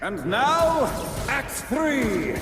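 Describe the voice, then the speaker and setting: An actor playing a grandiose stage narrator in a video game, declaiming florid lines in a measured, dramatic way. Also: male voice, very high voice, very animated, exaggerated voice. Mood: excited, dramatic. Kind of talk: theatrical scripted game narration. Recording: echoey hall, distant, noisy backdrop.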